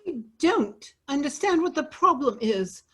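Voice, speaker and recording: Casting: flustered fairy godmother played by a woman, high-pitched and very animated, exasperated, children's theater. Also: measured pace, full character voice. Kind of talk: children's theater staged reading.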